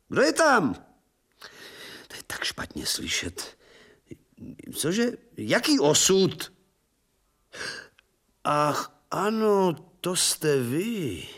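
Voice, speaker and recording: Creaky, volatile old professor. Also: male voice, on the high side, a bit bright, heavily acted. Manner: theatrical, swinging from resigned murmur to agitated exclamation. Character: weary, bitter old zoology professor, irascible and melancholy. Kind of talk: radio drama acting.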